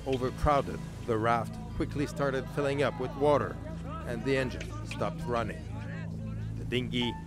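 A male reporter narrating a news feature in a measured, scripted voice-over. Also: medium pitch. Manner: measured, even storytelling delivery. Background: music bed.

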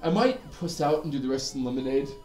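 A man's voice: mid-range voice, expressive, fast, darkish tone, roomy sound, faint music behind. A young man, a bit drunk, giggling and reacting while his friend plays a video game. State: tipsy. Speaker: co-host. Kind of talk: drunk casual let's play banter.

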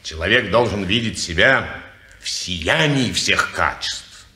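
A man's voice: on the low side, expressive, slow, sharp tone, heavily acted. An actor playing a sour, scornful man who grumbles sarcastically, in an echoey old radio studio recording. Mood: grumbling. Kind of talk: 1950s radio play acting.

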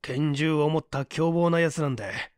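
A man's voice: on the high side, normal speed, clean tone, no echo, clean studio sound, close to an everyday voice. A man voicing a stern police chief in an audiobook story, formal and clipped in an official way. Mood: puzzled.

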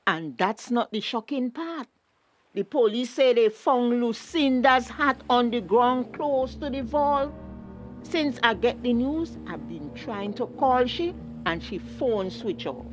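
A woman's voice: fairly high pitch, lively intonation, slow pace, faint music behind. A middle-aged woman with a Tobagonian accent acting a protective, reproachful mother in a radio drama. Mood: worried, grave. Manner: reproachful, lecturing. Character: scolding, protective mother of a grown daughter.

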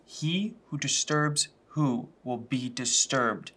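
A man's voice: medium pitch, slow, pushed and bright, a little hiss or hum. A young man playing an anxious, earnest friend in a comedic short film, urgent and pleading. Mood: grave, earnest.

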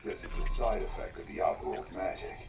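A man's voice: deep voice, expressive, fairly quick, gravelly, heavy background noise. A man playing a cocky masked fighter in a video game, with a casual, sardonic manner. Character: cocky, brash masked American street fighter.

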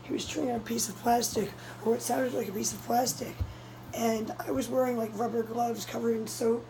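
A young woman, hoarse and a little strained, talking straight into a phone held close. Her voice sits fairly high, and a faint hum runs underneath. She tells her story in a rush, urgent and breathless. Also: fairly even intonation, fairly quick. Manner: breathless, urgent retelling of a scare. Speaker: cat vlogger. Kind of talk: casual vlog storytelling. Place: small red-walled room indoors. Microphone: phone camera held close, low angle.

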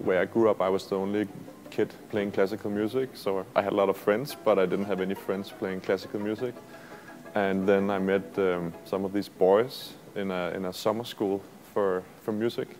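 A low-voiced Danish man in his thirties speaks in an even, level tone with little rise and fall. He answers interview questions slowly and thoughtfully, with soft music faintly behind him. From Denmark. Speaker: violist. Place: museum gallery.

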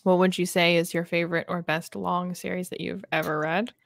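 A woman's voice: on the low side, flat delivery, fairly quick, creaky, no echo, clean studio sound. A young woman chatting casually about books on a livestream, with a wry, informal way of telling things. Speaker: book discussion co-host. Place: small book-lined room at home, indoors.

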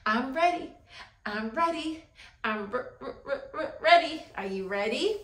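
An American woman leads a children's circle time in a high voice. She speaks slowly and encouragingly, with simple questions and deliberate pauses. The room adds a noticeable echo around her words. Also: expressive, a bit bright. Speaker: preschool teacher. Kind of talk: children's circle time lesson, addressing young viewers directly. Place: small classroom-style room, indoors. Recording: roomy indoor echo.

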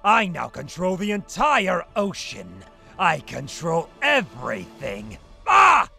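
A man voicing a villainous, gloating sea sorcerer in a fan audio drama, theatrical and menacing, with a gravelly, husky voice. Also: sits high, wildly swooping pitch, takes it slowly, bright, forceful tone, soft background music, heavily acted. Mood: menacing triumph. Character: gloating villainous sea sorcerer with tentacles, male, grown giant.

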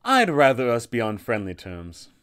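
A man voicing a haughty, scheming elven lich sorceress in a formal, measured, theatrical manner, for a tabletop game villain. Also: mid-range voice, wildly swooping pitch, a bit bright, smooth. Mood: veiled threat.

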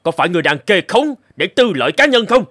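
A man's voice: high voice, big pitch swings, quick pace, a bit bright, full character voice. A man playing a stingy, suspicious, hot-tempered rich lord in a cartoon folktale, with pompous, exclamatory delivery. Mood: angry. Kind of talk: animated folktale acting.

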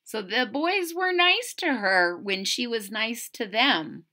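A woman reading a picture book aloud expressively, pausing to explain and ask young listeners simple questions. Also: a little high. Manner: animated, explanatory, addressing children with questions. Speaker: reader of a children's picture book.

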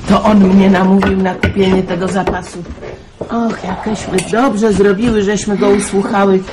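An actress playing a weary, kindly middle-aged aunt in a wartime radio drama, sighing and resigned. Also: female voice, mid-range voice, flat delivery, normal speed, noisy background, close to an everyday voice. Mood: fond. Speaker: aunt raising her niece.

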